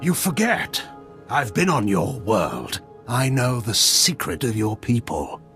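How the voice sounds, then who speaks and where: Casting: ruthless, formal military admiral in a sci-fi game, widely swinging intonation, score underneath. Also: male voice, medium pitch, big pitch swings, slow pace, a bit bright. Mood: ruthless, taunting. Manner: formal, authoritative, haughty. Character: haughty male imperial admiral, commanding and ruthless. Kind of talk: video game cutscene acting. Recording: game music behind the voice.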